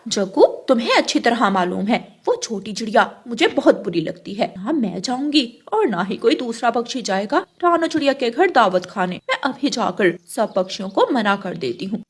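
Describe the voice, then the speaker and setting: Cartoon mother crow , female performer: high-ish voice, scheming gossip, bossy and insinuating. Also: animated, moderate pace, hardly any pauses, husky. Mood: angry, bossy. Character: spiteful, lying mother crow, gossiping slyly.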